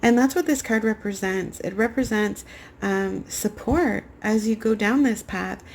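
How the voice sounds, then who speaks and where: A young woman giving a rambling spiritual tarot reading to camera, her voice raspy as she gets over a cold. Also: medium pitch, unhurried, a little hiss or hum. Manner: soft, meandering spiritual talk with many fillers. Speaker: tarot card reader.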